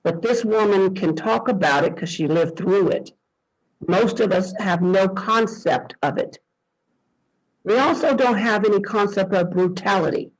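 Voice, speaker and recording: A man's voice: sits high, unhurried, low-quality recording. A man hosting an online interview, introducing his guest with emphatic, preacherly cadence over a video call. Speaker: host.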